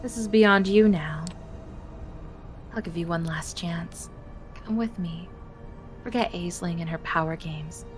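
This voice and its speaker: A woman's voice: fairly low pitch, unhurried, long pauses, soft background music, close to an everyday voice. A woman playing a bitter, weary sorceress who talks bluntly and scornfully in an amateur vampire game drama. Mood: scornful, cold. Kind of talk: amateur voice acting of a visual novel.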